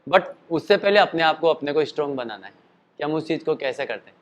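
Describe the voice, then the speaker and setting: A man's voice: a little high, animated, quick pace, highs cut off. Tired-throated man teaching voice. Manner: explanatory, chatty, demonstrates calls and sung notes. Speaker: singing and voice teacher. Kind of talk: casual spoken voice lesson.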